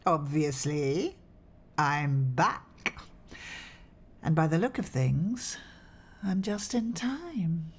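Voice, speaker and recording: A middle-aged woman playing a haughty, sardonic mother, disdainful and deliberate, in a radio soap. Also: sits low, lively intonation, exaggerated voice.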